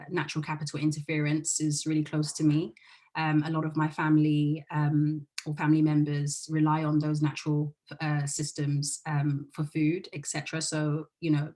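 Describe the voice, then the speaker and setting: A woman from the UK introducing herself on a panel as an angel investor, talking in a measured, reflective way with many fillers. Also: low voice, darkish tone, poor audio.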